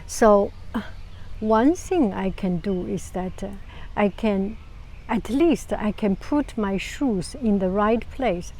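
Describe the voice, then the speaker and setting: A woman with a Chinese accent and a low, soft voice giving calm, casual advice to camera, often restarting phrases and sometimes dropping to a whisper. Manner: calm, unhurried, many restarts. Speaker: vlogger.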